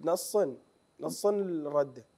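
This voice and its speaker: A man in his thirties with an Iraqi accent, a religious elegy reciter answering interview questions softly and briefly, seated in a TV studio. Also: on the high side, normal speed, creaky. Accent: Iraqi Arabic. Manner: soft-spoken, agreeable short replies. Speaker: Husayni elegy reciter guest. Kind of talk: conversational interview with chanted lament verses. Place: TV studio set, indoors. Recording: roomy studio echo.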